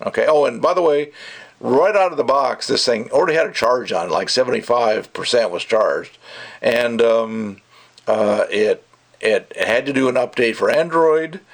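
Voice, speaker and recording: A man giving a casual, rambling and opinionated product review, talking off the cuff. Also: mid-range voice.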